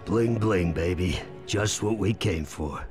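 An actor playing a cocky, greedy burglar in a video game, speaking smugly. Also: male voice, low voice, slow pace, a little mellow, faint music behind. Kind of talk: video game cutscene acting.

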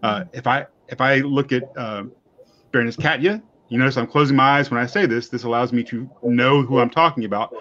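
A man's voice: mid-range voice, fairly quick, fairly bright tone. Chatty man hosting over a video call. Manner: chatty, self-deprecating, rambling. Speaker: host. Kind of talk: casual chat. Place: home room. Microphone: webcam on video call. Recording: slightly muffled video call audio.

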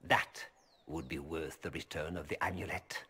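Scheming merchant, husky, ingratiating, game voice. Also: male voice, a bass voice, fairly even intonation, normal speed, bright, forceful tone, exaggerated voice. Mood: smug, ingratiating. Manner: smooth, ingratiating, formal. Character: scheming male merchant, oily and polite. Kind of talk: video game voice acting.

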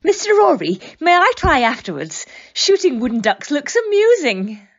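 A woman's voice: sits high, very animated, moderate pace. A woman playing a polite, naively curious newcomer in an audio drama, with formal, innocent delivery and a dull recording. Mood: innocent, eager.

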